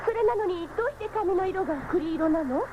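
A woman's voice: very high-pitched, animated, a little fast. A woman playing an alert young female cyborg scout in a 1970s radio drama, with dramatic, feminine phrasing. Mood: curious. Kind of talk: 1970s anime-style radio drama acting. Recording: dull, noisy 1979 radio recording.

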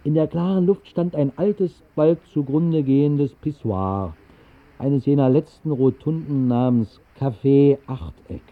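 An actor narrating a radio drama as a wistful storyteller, measured and deadpan in a literary style. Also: male voice, medium pitch, natural voice. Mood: deadpan storytelling. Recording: muffled band-limited old radio recording.

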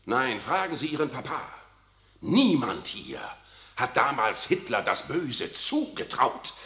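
An actor playing a worldly, cynical older cardinal who talks in an ironic, confiding way in a 1960s radio drama. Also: male voice, mid-range voice, sing-song, slow, sharp tone, echoey room, full character voice. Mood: sly. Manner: ironic, confiding, keeps adding "nicht wahr".

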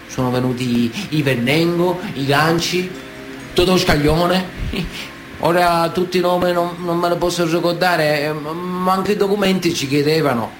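An actor playing a boastful Sicilian mafioso telling prison tales colloquially in a radio drama monologue. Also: male voice, fairly high pitch, natural voice. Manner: colloquial, storytelling, cocky.

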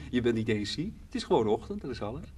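A man acting a playful, cajoling holidaymaker with a very lively, swooping pitch. He teases and coaxes wryly in radio-play style, heard at a distance through faint hiss. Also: quick pace, natural voice. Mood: soothing, teasing. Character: teasing, coaxing man on holiday with his hungover partner. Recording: distant, slightly hissy old radio recording.

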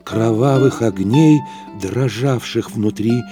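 A man narrating a literary audio drama in a measured storytelling manner. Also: medium pitch, over music.

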